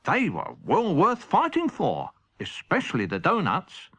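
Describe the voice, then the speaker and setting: Bright, very lively male storyteller. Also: sits high, a little slow, slightly rough. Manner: expressive, theatrical. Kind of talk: scripted children's audiobook narration.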